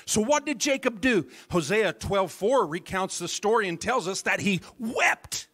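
Minister preaching slow and dramatic, kinda high voice, drops to whispers, calls out to the church. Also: male voice, animated, fairly bright tone. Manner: calls out to the congregation. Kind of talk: sermon. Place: church service.

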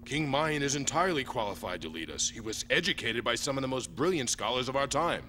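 A man voicing a dignified, formal, loyal cadet in an animated sci-fi dub. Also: mid-range voice, fast, slight background noise. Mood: proud.

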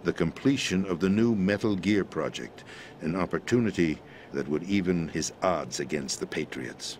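An actor playing a middle-aged president in a video game, explaining a conspiracy in a measured, grave manner. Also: male voice, sits low, lively intonation, a little mellow, a little hiss or hum. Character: calm, grave middle-aged man, president briefing via codec. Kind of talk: video game cutscene voice acting.

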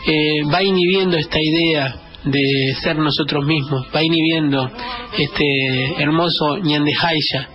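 A man with a Greater Buenos Aires Argentine accent, hosting a radio show with a reflective spoken editorial, repeating phrases for emphasis. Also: fairly high pitch. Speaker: radio host. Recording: dull band-limited radio sound, music behind.

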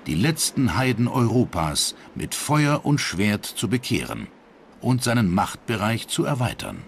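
A man narrating a scripted historical documentary. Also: medium pitch. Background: music.

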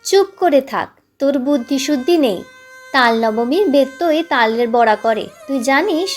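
A woman's voice: sits high, expressive, moderate pace. A woman voicing a bossy, impatient twelve-year-old village boy in a narrated story. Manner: commanding, impatient with younger brother. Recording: music playing behind the voice.

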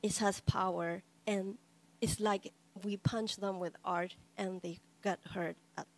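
Earnest woman in flat, halting English. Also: mid-range voice, slight background noise. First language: not English. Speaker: panelist artist. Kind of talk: roundtable discussion remarks. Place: gallery room with audience.

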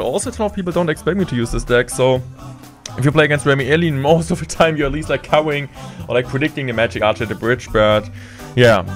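A young man streaming gameplay from a room indoors, talking through each move in steady play-by-play with excited bursts at big plays, a little sick. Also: mid-range voice. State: slightly sick. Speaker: game streamer. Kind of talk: live gameplay commentary.

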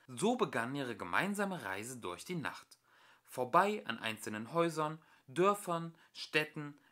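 A man reads a children's story aloud as an amateur narrator. His voice is bright and forward, and his pitch moves in a lively, expressive way through the sentences. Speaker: amateur audiobook reader.

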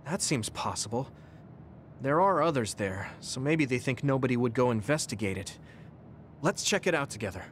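Man to play kind young anime soldier lad, medium pitch, steadfast, earnest delivery. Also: expressive, normal speed, slight background noise, natural voice. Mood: earnest, supportive. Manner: earnest, reassuring. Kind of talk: anime game voice acting.

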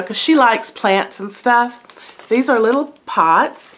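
A middle-aged woman talks casually to a camera close by in her living room, her voice stuffed up from a head cold. She rambles in a friendly, unhurried way with plenty of ums and little asides. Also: medium pitch, a bit bright. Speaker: haul vlogger. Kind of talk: casual show-and-tell chat. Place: living room by a brick fireplace, indoors.

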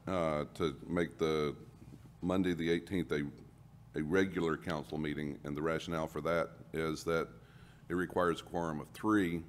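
A man on a city council speaks deliberately and explains his reasoning. His voice is very deep. Faint room noise sits behind him. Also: fairly even intonation. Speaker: council member. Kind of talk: public meeting discussion.

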